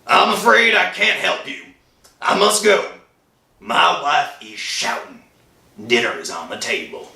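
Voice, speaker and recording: A man playing a brusque, dismissive telephone answerer in an old-time radio drama, with a creaky, sharp voice. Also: a little high, huge pitch swings, measured pace, far from the mic, full character voice. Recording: roomy echo, slightly off mic.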